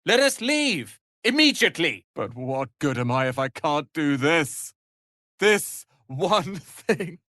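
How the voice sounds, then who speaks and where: A man voicing a proud, sharp-tongued young tiefling wizard's apprentice in a video game, with haughty, theatrical, biting delivery. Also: a little high, big pitch swings, takes it slowly, no echo, studio quality, full character voice. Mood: bitter, scornful. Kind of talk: dramatic video game voice acting.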